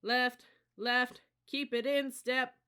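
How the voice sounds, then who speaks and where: An audiobook reader voicing a cocky young male cadet drill leader, chanting running cadences and shouting orders in a sing-song voice. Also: mid-range voice, flat delivery, slow, smooth, voice pushed up. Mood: loud, rousing.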